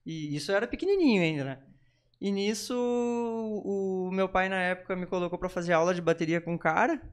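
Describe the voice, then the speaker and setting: High-voiced man with a clear tone. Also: fairly quick. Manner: informal, conversational. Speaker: podcast guest, drummer. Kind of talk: casual interview, telling personal stories.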